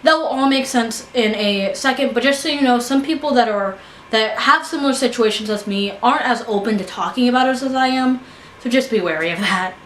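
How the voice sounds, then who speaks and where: A woman's voice: fairly high pitch, brisk, fairly bright tone, slight background noise. A young American woman talking earnestly to camera in a personal vlog, stumbling and restarting her sentences now and then. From United States. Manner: earnest, frequent fillers and restarts. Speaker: vlogger. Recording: echoey room.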